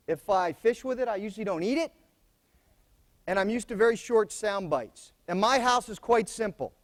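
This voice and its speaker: A soft-spoken middle-aged American man giving opening remarks at a conference, plainspoken and repeating key phrases for emphasis. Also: high-pitched. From United States. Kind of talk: conference speech to students.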